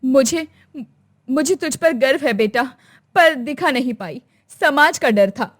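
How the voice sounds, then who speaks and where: A traditional older mother-in-law in a family bedtime story, acted out by a woman. Her voice is high and very animated, stern in temper with a tender core. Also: a little slow. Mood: remorseful.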